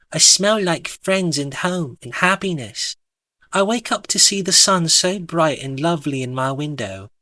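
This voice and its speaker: A man's voice: a little high, pushed and bright, dry recording. A man voicing a cheery, dreamy, genteel woman in a chirpy, gushing, sing-song manner for a story.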